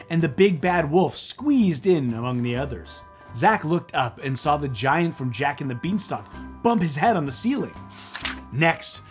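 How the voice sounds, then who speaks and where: A man reading a children's book aloud as theatrical narration, dramatic and playful. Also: on the high side.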